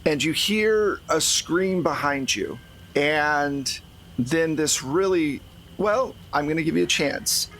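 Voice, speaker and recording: A man's voice: a little high, animated, takes it slowly, a bit bright. Conversational male game master, noisy recording. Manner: conversational, explanatory. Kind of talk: improvised narration of a tabletop roleplaying game.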